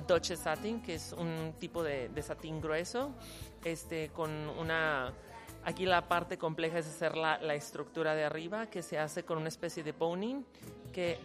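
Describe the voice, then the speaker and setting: Low-voiced designer talking over music. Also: female voice. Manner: halting, explanatory, restarting words. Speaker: costume designer. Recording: interview with loud music behind. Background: music playing.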